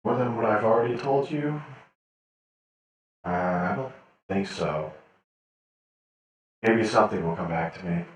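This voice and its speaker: A man's voice: on the low side, measured pace, long pauses. A man playing a captured hired killer in a sci-fi game role-play, laconic and casual, with hesitant answers and a reverberant voice. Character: captured male hired killer, laconic, menacing attack dog. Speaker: role-player in an online game. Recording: heavily echoing, distant-sounding voice.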